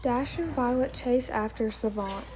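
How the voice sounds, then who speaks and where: A woman narrating a scripted story, reading it aloud in a plain, measured way. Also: fairly high pitch, noisy background.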